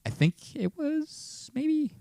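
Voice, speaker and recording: A man's voice: very high voice, sing-song, takes it slowly, gravelly, dark tone, no room sound, studio quality. A man co-hosting a podcast, talking casually and informally in easygoing fan banter. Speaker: podcast co-host.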